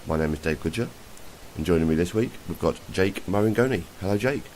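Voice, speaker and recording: A man with a very low voice hosts a podcast in a casual interview style. He asks polite questions and gives short, friendly reactions. A faint hiss runs under the recording. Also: animated, a little fast, darkish tone, low-quality recording. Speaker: podcast host.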